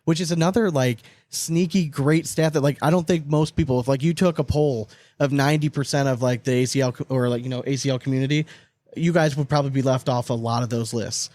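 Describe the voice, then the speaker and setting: Fast-talking man hosting a podcast. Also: medium pitch, fairly even intonation, a little mellow, no echo. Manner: slangy, frequent swearing. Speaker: podcast host. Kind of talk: casual chat interview.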